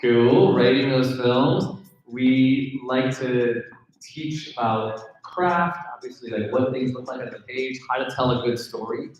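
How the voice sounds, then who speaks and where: A man's voice: mid-range voice, a little slow. A man hosting a live gathering, making informal announcements in a conversational way and putting questions to his listeners. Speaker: event host and program organizer. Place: indoor mixer venue in front of a gathering. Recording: very echoey room, live event.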